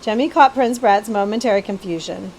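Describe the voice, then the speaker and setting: A woman librarian reading a children's story aloud in expressive narration. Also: mid-range voice, fairly quick, rough recording. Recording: faint background ambient noise.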